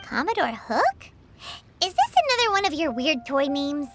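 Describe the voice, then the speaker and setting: A woman voicing a tiny, bossy fairy companion in a video game who talks about herself in the third person, with a high squeaky voice. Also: sing-song, moderate pace, very noisy. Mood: matter-of-fact. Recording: game audio with music behind. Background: game music.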